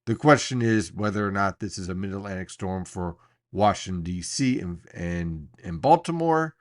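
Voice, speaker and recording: A man presenting an unscripted weather briefing as a forecaster, explaining things emphatically with deliberate pauses. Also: sits low, animated.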